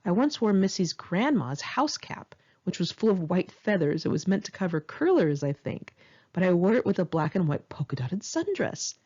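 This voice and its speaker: A woman's voice: fairly low pitch, big pitch swings, normal speed, dark tone, husky, lo-fi sound. A woman narrating her own memoir as an audiobook, in a candid, conversational and wry way. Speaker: author reading own memoir.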